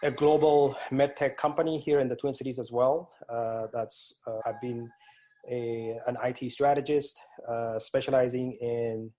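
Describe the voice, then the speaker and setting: A man's voice: mid-range voice, fairly even intonation, measured pace, mellow. A man in his forties from East Africa with an East African accent, answering interview questions as a guest with many hesitant fillers and restarts. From East Africa (Ethiopia and Kenya). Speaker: guest, IT strategist. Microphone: remote call.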